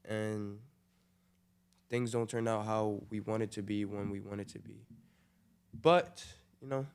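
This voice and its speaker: Teen son co-host, eighteen, lowish voice, relaxed and earnest. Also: male voice, measured pace, vocal fry, clear, resonant tone. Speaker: podcast co-host. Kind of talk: casual family chat.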